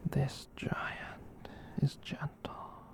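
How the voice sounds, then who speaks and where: A performer voicing a gentle giant furry catboy in a whispered ASMR roleplay, soft and breathy, rambling tenderly and reassuringly. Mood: tender, doting. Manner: slow, tender, reassuring rambling with cat-speak.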